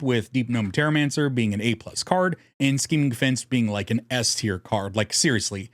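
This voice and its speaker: A man's voice: medium pitch, no room sound, clean studio sound. A man narrating a scripted strategy guide in a jokey, irreverent way, casually swearing as host. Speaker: host of a card game deck guide.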